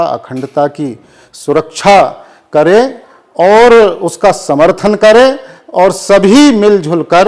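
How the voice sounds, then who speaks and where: Slow, measured cleric, higher-pitched man. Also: darkish tone. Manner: measured, formal phrasing heavy with Urdu vocabulary. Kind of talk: formal press interview answers on current affairs.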